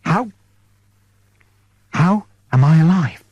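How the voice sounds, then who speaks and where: A man's voice: a little high, lively intonation, takes it slowly, darkish tone, husky, dry recording, dull audio, exaggerated voice. A man playing a bewildered, alarmed man waking from sedation in an audiobook, groping for words and questioning in disbelief. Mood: incredulous, alarmed.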